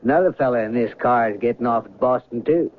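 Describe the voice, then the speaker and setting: A narrow, lightly hissing vintage radio recording features a man acting a cryptic train official. He is unruffled and teasing, matter-of-fact as if he knows every answer, in a medium-pitched voice. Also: fast, close to an everyday voice. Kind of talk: 1970s radio drama acting. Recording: thin band-limited vintage radio recording, hissy.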